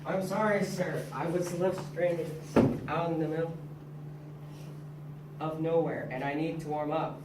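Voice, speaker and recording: A woman's voice: low-pitched, fairly even intonation, measured pace, pauses often. Female as pleading elderly father; amateur stage read, roomy sound with background noise. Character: flustered, pleading old inventor father. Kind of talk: amateur readers theater in drama class. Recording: noisy echoey room, distant mic.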